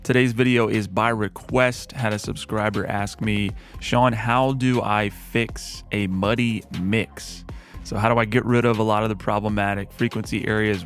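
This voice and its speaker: A man with a low voice, talking through a music mixing tutorial in a relaxed, explanatory way with frequent fillers. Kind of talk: screen-recorded mixing tutorial.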